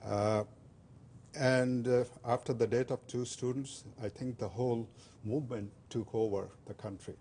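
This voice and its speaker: Older Bengali-speaking man, halting and mellow. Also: medium pitch, fairly even intonation, slight background noise. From Bangladesh. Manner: hesitant, many "uh" fillers and restarts. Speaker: interview guest. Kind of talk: community TV interview.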